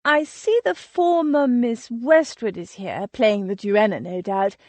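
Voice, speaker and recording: A woman reading an audiobook voices a catty, gossiping young Regency lady with a high, affected, gushing manner. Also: measured pace, a little mellow, no room sound, close to an everyday voice.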